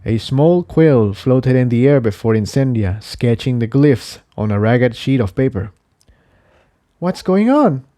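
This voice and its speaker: A man's voice: mid-range voice, moderate pace, few pauses, mellow, dry recording, clean studio sound. A man reading a scripted fantasy adventure story as narrator. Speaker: fan fiction narrator.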